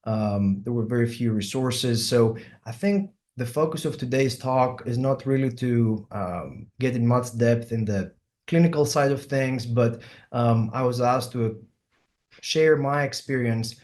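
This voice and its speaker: A middle-aged man from Greece with a Greek accent, a surgeon giving an online symposium talk and often pausing with ums and uhs. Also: mid-range voice, darkish tone. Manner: hesitant, many ums and uhs. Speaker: plastic surgeon presenting.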